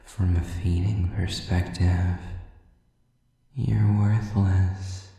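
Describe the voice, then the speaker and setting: A man playing a sadistic, predatory demon in an intimate audio roleplay, taunting with mock politeness and breathy, drawn-out menace. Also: low-pitched, flat delivery, warm tone, heavy reverb, distant mic, heavily acted. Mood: contemptuous, predatory. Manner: slow, drawn-out, mockingly polite, breathy whispers.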